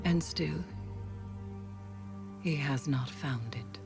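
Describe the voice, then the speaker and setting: An actress playing a solemn storyteller who narrates a game cutscene in a grave, deliberate manner. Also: female voice, low-pitched, creaky, exaggerated voice. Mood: solemn, mournful. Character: solemn female storyteller recounting an old sorrow. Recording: dramatic music behind the voice.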